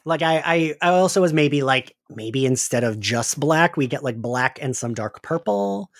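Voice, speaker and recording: A man with a medium-pitched voice and animated intonation hosts a casual podcast chat. He speaks informally, thinking aloud as he goes, in a close, dry recording. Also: normal speed, no room sound. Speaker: podcast host leading the discussion. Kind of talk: casual fan discussion of an audio drama.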